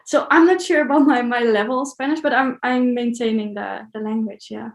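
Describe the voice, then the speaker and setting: Dutch accent woman, casual interview guest, kinda hesitant, fairly high voice, roomy echo. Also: fairly even intonation, a little fast, vocal fry. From Netherlands. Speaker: interview guest, solo traveller.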